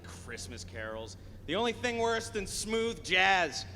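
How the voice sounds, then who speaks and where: A man playing a wry, halting, grumbling adult son in a live radio play. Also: sits high, sing-song, bright, forceful tone, slight background noise, exaggerated voice. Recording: live stage in an echoing hall.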